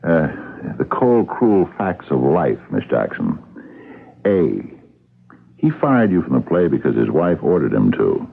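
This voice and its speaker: A wry radio drama cop, super deep and husky, voice swings around, muffled old broadcast. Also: male voice, wildly swooping pitch, measured pace, heavily acted. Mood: skeptical, dry. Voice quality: gravelly. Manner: hesitant, probing, dry. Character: dogged, wry male police captain questioning suspects. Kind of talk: radio mystery drama acting.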